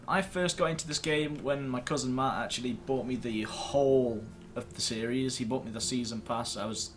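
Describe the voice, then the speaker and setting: Man chatting casually over clear background noise. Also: medium pitch, moderate pace. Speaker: host of a gaming video series. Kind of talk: casual gameplay commentary to camera. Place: indoors at a computer screen.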